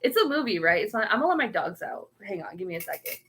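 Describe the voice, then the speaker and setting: A woman's voice: mid-range voice, sing-song, quick pace, sharp tone. Young female streamer, chatty and meandering, reads chat aloud. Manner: rambling, trails off searching for words. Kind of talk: casual livestream chat.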